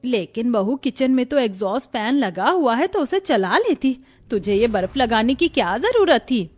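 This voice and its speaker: A woman's voice: fairly high pitch, very animated, moderate pace, darkish tone. A woman playing a puzzled middle-aged mother-in-law in a cartoon family story. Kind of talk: cartoon moral story dialogue.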